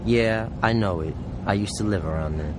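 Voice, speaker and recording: Man acting a gruff, low-voiced survivor in noise. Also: moderate pace, close to an everyday voice. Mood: guarded. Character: gruff, defensive male survivor making a shady handover deal. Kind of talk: audio drama acting. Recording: noisy, with vehicle ambience.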